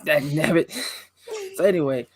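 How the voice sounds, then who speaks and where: A man's voice: fairly high pitch, moderate pace, no echo. A man, a guest musician and streamer, telling a story in casual chat and acting out other people's voices. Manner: animated, acts out other people's voices in quotes.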